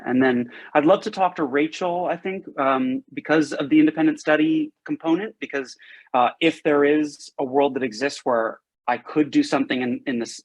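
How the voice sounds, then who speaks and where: A man's voice: fairly high pitch, poor audio. A man from Hawaii, a prospective student, talking hesitantly with lots of restarts and fillers. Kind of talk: casual video-call chat.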